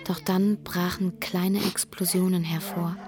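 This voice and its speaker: An actress playing a gentle, sorrowful young woman who narrates a radio drama in a hushed, restrained way. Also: fairly low pitch, level tone, unhurried, lightly acted. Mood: grave. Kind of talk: scripted first-person radio drama narration.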